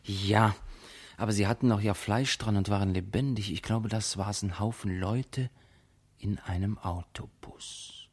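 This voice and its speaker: Husky, muddled, forgetful man. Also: on the low side. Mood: pondering, unsure. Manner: halting, hesitant, pondering to himself. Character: muddled, forgetful man groping uncertainly for memories. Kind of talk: radio drama monologue.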